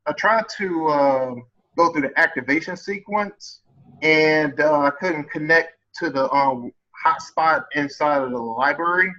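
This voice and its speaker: A man calling in as a student, explaining haltingly with many fillers. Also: on the high side, pushed and bright. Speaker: student caller. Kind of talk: casual call. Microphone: online call. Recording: dull lo-fi call sound.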